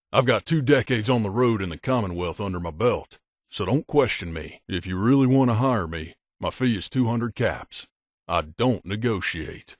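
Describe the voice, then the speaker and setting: A man's voice: fairly low pitch, a little mellow, no echo, clean studio sound. A man playing a middle-aged mercenary bodyguard in a video game, a blunt and guarded loner who talks in a terse, matter-of-fact, aloof way. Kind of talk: video game character dialogue.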